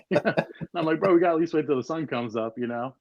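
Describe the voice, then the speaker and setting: A man's voice: a little high, fairly quick, darkish tone, no room sound, highs cut off. A man in his forties from Maryland, a podcast guest telling stories in a rambling way with lots of fillers. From Maryland, USA. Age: 40s. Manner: rambling storyteller, many fillers. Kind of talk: casual chat.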